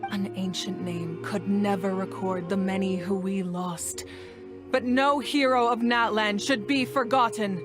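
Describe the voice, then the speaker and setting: A woman playing a dignified, solemn leader of a nation in a video game, speaking in formal, measured oratory. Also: mid-range voice, steady tone, music in the background, natural voice. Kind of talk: scripted video game voice acting.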